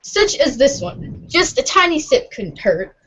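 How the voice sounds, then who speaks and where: A woman's voice: sits high, very animated, measured pace, a bit bright. A woman reading scripted narration aloud as part of an amateur readers' theatre. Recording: dull, lo-fi audio.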